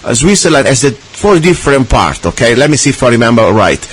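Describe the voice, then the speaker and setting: A man's voice: mid-range voice, very animated, fast, breathy edge. A man hosting a radio talk show, chatting casually with many restarts and fillers.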